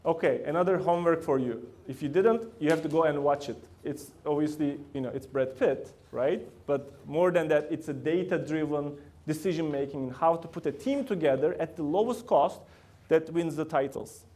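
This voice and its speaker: A man's voice: a little high, normal speed, warm tone. A middle-aged man with a Turkish accent giving a lecture to students in a university room, often asking the audience questions. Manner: asks the room frequent questions, loose grammar. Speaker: guest lecturer.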